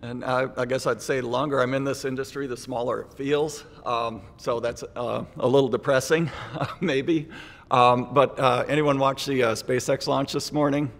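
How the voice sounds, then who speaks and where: A man's voice: mid-range voice, fairly even intonation, moderate pace, a bit bright. A man on an industry panel, speaking in a wry, light-hearted way. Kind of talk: panel discussion. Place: conference hall stage panel. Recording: reverberant conference hall.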